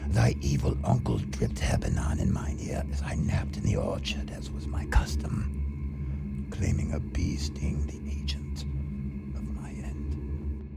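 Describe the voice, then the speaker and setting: An actor playing the tormented, vengeful ghost of a murdered king in a radio drama, in a breathy, hissing whisper with grave, drawn-out delivery. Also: extremely low pitch, very animated, over loud music, heavily acted. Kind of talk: stage-style Shakespearean radio drama.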